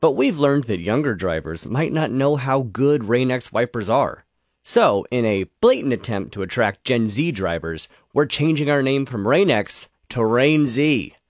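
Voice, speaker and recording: A man's voice: mid-range voice, very animated, a little slow, no room sound, clean studio sound. A man reading a tongue-in-cheek scripted commercial as the announcer.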